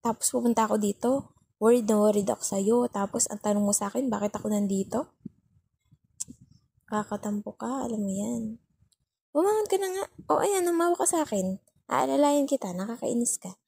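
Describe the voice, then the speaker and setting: A woman's voice: on the high side, expressive, fairly quick, gravelly, pauses often, warm tone, husky, exaggerated voice. A woman with a Filipino accent playing a bossy but caring, worried young girlfriend who scolds and sulks in an intimate ASMR roleplay. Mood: fussing, worried. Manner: scolding, sulky, teasing, repeats herself for emphasis.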